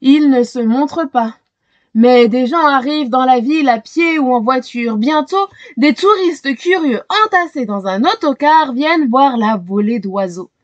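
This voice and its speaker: A woman reading a children's storybook aloud in an expressive, animated way, as if to young listeners. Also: sits high, a little slow, dry recording, muffled recording. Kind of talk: expressive scripted storybook narration.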